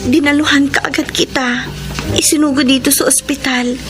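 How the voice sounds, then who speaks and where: An actress with a Filipino accent playing an earnest younger sister-in-law in a heartfelt radio drama. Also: female voice, high-pitched, level tone, moderate pace, over music, close to an everyday voice. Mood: gentle, concerned. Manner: melodramatic, heartfelt.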